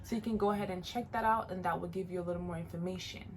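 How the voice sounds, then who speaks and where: A woman's voice: on the low side, steady tone, racing, slight background noise. Chatty Jamaican-born woman in her late twenties. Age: late 20s. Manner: chatty, encouraging, repeats phrases for emphasis. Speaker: vlogger. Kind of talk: casual advice vlog.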